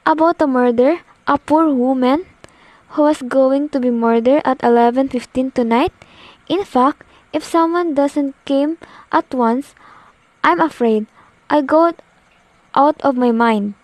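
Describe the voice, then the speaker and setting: A woman's voice: high voice, darkish tone, no room sound. A young woman acting a nervous, demanding bedridden woman alone at home in an amateur radio play. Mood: upset, insistent. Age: teenager. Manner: imperious, nervous, halting delivery.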